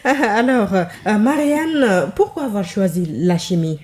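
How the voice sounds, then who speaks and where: Warm-toned woman radio interviewer. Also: medium pitch, expressive, slow pace. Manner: friendly, laughing, probing questions.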